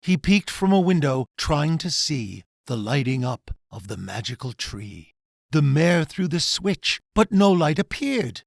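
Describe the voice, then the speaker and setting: Slow, expressive male storyteller, creaky husky voice. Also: on the high side, no room sound, studio quality. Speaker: author reading own children's book. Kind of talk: rhyming storybook narration.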